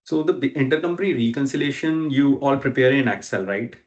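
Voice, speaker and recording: A man in a work meeting asking questions and checking his understanding, halting as he goes. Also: mid-range voice, lively intonation, muffled recording. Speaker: interviewer documenting a work process. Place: recorded online work meeting.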